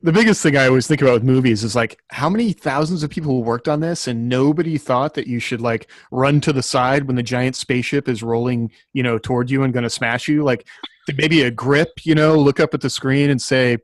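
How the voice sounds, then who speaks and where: Medium-pitched man thinking aloud, dry sound. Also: a little fast, no room sound. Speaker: podcast panelist. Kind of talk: casual group podcast chat.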